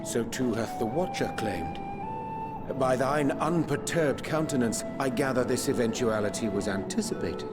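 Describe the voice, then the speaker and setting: An actor playing a solemn man in a fantasy video game, with formal, measured, archaic speech. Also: mid-range voice, over loud music, close to an everyday voice. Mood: grave, composed. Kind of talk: scripted video game dialogue.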